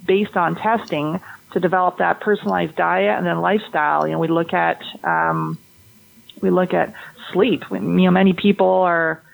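Very gravelly voice, fertility practitioner, explanatory interview answers, frequent restarts. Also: medium pitch, animated, moderate pace, poor audio. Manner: explanatory, with many restarts and repeated words.

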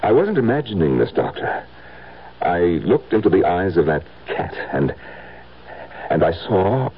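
An actor playing a nervous, defensive fortune-hunting husband haunted by visions, in halting, dramatic 1970s radio drama style. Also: male voice, low voice, sing-song, slightly rough, exaggerated voice. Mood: rattled. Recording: dull vintage radio recording with loud music.

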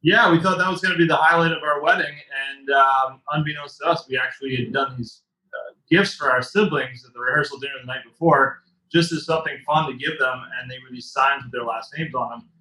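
A man from Massachusetts in his thirties, a business co-founder telling his story as a podcast guest in a relaxed way. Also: medium pitch, fairly quick, reverberant, rough recording. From Massachusetts, South Shore. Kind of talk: casual interview chat.

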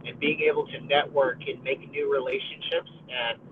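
A young American college student and podcast co-host chatting casually in a friendly, upbeat way, with many fillers and restarts. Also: male voice, mid-range voice, level tone, normal speed, phone-quality sound, a little hiss or hum. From United States. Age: college age. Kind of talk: casual conversation.

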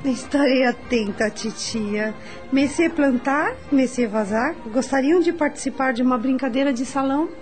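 A woman's voice: sits high, normal speed, a little mellow, close to an everyday voice. A woman acting a polite, earnest and curious young niece in a period radio drama, with a refined manner and a Brazilian accent. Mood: courteous, playful. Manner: courteous, refined. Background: music.

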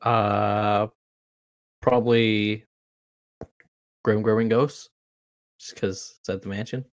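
A man's voice: fairly low pitch, flat delivery, slow. A man co-hosting a podcast in casual chat, tossing in short, joking remarks. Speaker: podcast co-host.

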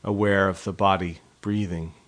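Low-pitched man guiding a meditation. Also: fairly even intonation. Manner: measured, gently instructive, with pauses between phrases. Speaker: meditation instructor. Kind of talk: guided body scan meditation.

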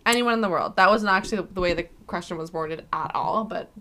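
Soft-spoken young woman, chill n rambly, voice kinda worn, asks the questions. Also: lowish voice, fairly quick, a little hiss or hum. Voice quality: soft-spoken, voice often strained. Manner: laid-back, rambling, lots of "like". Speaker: podcast co-host asking questions. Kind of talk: casual chat.

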